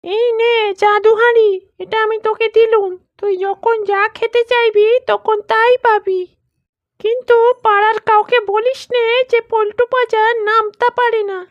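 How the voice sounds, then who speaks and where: Casting: woman to play greedy pond ghost for kids' cartoon, extremely high voice, boastful, sing-song, comically menacing. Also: little pitch movement, unhurried, dry recording, highs cut off. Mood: sheepish pleading. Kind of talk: Bengali children's cartoon dialogue.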